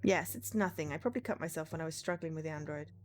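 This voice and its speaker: A woman's voice: deep voice, fast, faint music behind, natural voice. A woman voicing a tough, suspicious female investigator in a cyberpunk game, speaking bluntly. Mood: dismissive.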